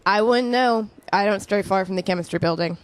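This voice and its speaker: A woman playing a friendly, reflective middle-aged chemistry professor in an audio drama, speaking in a measured, explanatory way. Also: medium pitch, close to an everyday voice, rough recording. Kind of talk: conversational audio drama acting.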